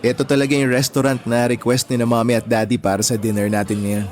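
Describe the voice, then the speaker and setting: A man's voice: mid-range voice, little pitch movement, fast, darkish tone, close to an everyday voice. A man acting as an attentive, insistent young suitor in a Filipino radio drama. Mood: relaxed. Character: Justin, attentive, insistent young man courting his friend.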